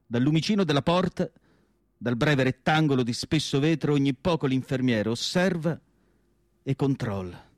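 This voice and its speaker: A man reciting literary prose as a radio theatre monologue, solemn and declamatory, with deliberate phrasing and dramatic pauses. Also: medium pitch, expressive, dry recording, clean studio sound.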